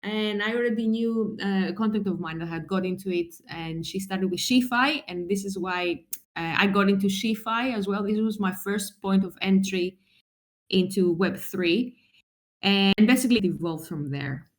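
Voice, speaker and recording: A woman from Greece, a translator and writer, answers interview questions in a lively, chatty way. Her voice sits at a middling pitch for a woman, and she restarts sentences and laughs as she goes. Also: moderate pace. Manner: animated, talks with fillers and restarts, laughs often. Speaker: guest, translator and travel writer. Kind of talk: conversational interview.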